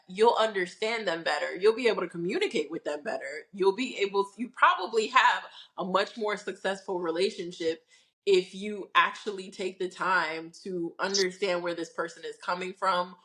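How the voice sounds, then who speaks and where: A woman's voice: mid-range voice, a bit bright. Thoughtful woman co-hosting a chat. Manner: agreeable, thoughtful, measured. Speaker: podcast co-host. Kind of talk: casual chat.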